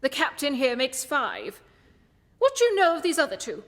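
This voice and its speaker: A woman's voice: sits high, huge pitch swings, normal speed, big-room sound. A woman playing a confident, incisive detective in a radio play, speaking with composed, precise command. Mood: assured. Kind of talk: festive radio play acting.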